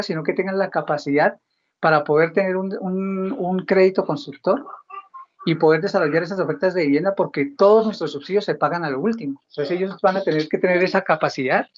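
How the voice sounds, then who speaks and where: Didactic official, slightly dull audio. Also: medium pitch. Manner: didactic, asks own questions then answers. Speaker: government housing ministry official. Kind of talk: explanatory talk on housing programs.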